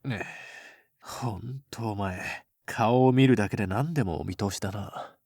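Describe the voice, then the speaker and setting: A man playing an aloof, gruff high school boy with curt, rough speech and a bashful streak, in an anime-style voice drama. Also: moderate pace, darkish tone, dry recording, studio quality. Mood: cool, guarded.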